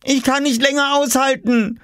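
A man voicing an excitable, bossy, impatient little boy in a children's storybook, exclaiming and scolding urgently. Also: sits high, moderate pace, dry recording, clean studio sound, voice pushed up. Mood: urgent, desperate.

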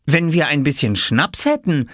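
A man's voice: a little high, huge pitch swings, moderate pace, a bit bright, no room sound, studio quality, pitched above the performer's own voice. A man voicing a bossy, earnest little boy, a self-important big brother in a children's storybook.

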